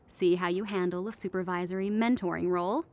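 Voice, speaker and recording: A woman playing a businesslike tour company manager in a radio play, speaking in a measured, diplomatic corporate way. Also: fairly low pitch, steady tone, lightly acted.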